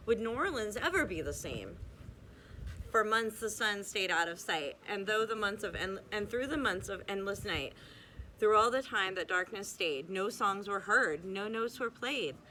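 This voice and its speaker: A young woman reads a rhyming children's book aloud in a bright, clear voice. She keeps a steady, bouncing rhythm and sometimes restarts a stumbled word. A faint hiss sits under the recording. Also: mid-range voice, rough recording. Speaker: reader.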